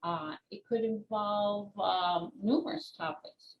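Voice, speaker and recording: An older woman speaks as an interviewed author, explaining things in short, measured phrases with pauses. Her pace is unhurried. The room adds a clear echo, and there is noise in the background. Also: medium pitch, highs cut off. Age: 60s or older. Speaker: children's book author and retired teacher being interviewed. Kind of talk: conversational interview answers.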